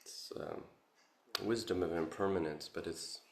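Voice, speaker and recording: A man guiding a meditation as a Buddhist teacher, contemplative and halting, with long pauses between short phrases. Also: low voice, steady tone, a little hiss or hum. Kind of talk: guided meditation, Buddhist dharma talk. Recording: roomy, echoing sound.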